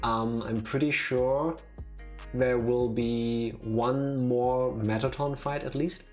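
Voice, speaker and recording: Playful, teasing game streamer, casual live commentary, medium pitch, music underneath. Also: steady tone, takes it slowly, darkish tone. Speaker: streamer playing and reading out a game. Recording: music behind the voice.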